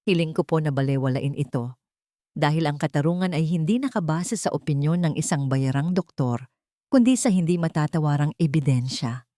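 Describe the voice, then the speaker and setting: Woman as a firm righteous courtroom lawyer, low-voiced. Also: expressive, moderate pace, mellow, dry recording, studio quality. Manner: formal, assertive.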